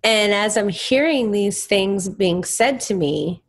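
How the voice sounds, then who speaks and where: Young woman guest from Texas, mid-pitched, candid and emphatic. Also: lively intonation, takes it slowly. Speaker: guest musician. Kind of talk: conversational interview.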